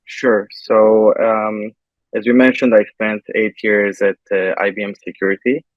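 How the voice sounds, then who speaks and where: Man from turkey, low voice, flat delivery, halting with fillers, tinny phone-like line. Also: no echo. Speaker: interview guest, software engineer. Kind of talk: casual interview answers.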